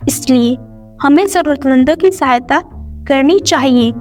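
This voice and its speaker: A woman's voice: high voice, unhurried, a little mellow. A woman narrating a scripted moral story as a storyteller. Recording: music under the voice.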